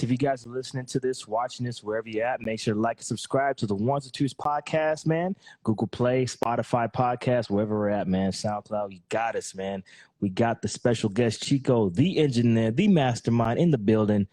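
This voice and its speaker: A man's voice: mid-range voice, moderate pace, darkish tone, no echo. A man hosting a podcast, chatting casually with a guest and ending his lines with "man" and "bro". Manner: laid-back slangy talk, "man" and "bro" at the end of lines. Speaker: podcast host. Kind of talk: casual interview chat.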